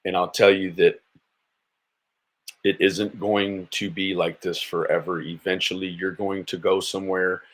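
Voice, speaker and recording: A man's voice: low-pitched, little pitch movement, unhurried. An American man hosting a casual livestream, giving blunt, mocking, sweary reaction commentary. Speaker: host of a livestream commentary show.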